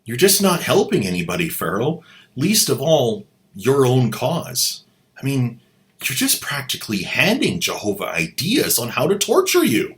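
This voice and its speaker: A man's voice: medium pitch, wildly swooping pitch, measured pace, a bit bright. A man hosting a commentary video who mocks the drama clips he plays with a sarcastic, incredulous delivery. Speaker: host, commentator.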